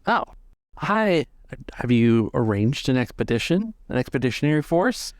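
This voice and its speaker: A man's voice: mid-range voice, sing-song, moderate pace, dry recording, studio quality, natural voice. A man playing a curious, easily surprised male scholar and traveler in a tabletop game. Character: bored male scholar doctor back from expedition.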